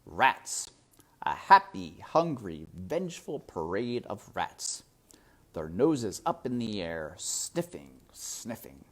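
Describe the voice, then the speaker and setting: A woman narrates a storybook in a very low, husky voice. Her delivery is theatrical and suspenseful, slow, with hugely expressive rises and falls. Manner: suspenseful, hushed at times, theatrical. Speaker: storybook reader. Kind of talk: dramatic read-aloud narration.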